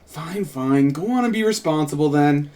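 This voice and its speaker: A man voicing an excitable young woman who gushes as a devoted band fan in a script reading. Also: on the high side, moderate pace, close to an everyday voice. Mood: playfully stubborn. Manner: gushing, exclamatory.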